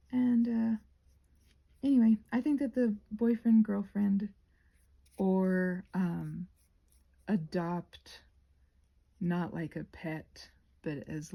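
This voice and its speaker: A woman with a soft-edged, mellow voice that has a husky grain and some creak. She talks in a loose, casual ramble, wandering off on tangents and circling back to rephrase herself. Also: medium pitch. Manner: digressive, self-correcting, trails off and restarts thoughts. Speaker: host of a rambling craft vlog.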